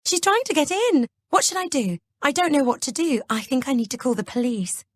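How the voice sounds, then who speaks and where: Woman voicing a scheming young woman, fake tearful panic, shrill, high, dry close sound. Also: sing-song, brisk, no echo. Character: manipulative young woman faking terror.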